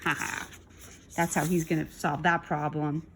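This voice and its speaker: A woman reading a storybook aloud to children in an expressive style. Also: deep voice, moderate pace, background noise.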